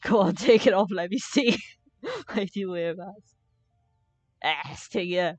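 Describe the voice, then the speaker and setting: A woman's voice: mid-range voice, lively intonation, measured pace, a little mellow, no echo, soft background music. A woman giving casual, jokey live commentary as she plays a game, reacting aloud to it. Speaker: game streamer.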